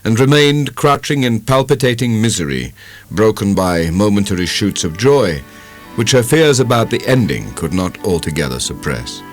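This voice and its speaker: A man narrating a literary audiobook in an expressive, dramatic storytelling style. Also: lowish voice, normal speed, soft background music.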